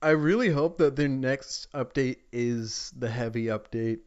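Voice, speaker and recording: A man's voice: medium pitch, measured pace. A man co-hosting a podcast in casual gaming chat, heard on a dull, lo-fi recording. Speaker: podcast co-host.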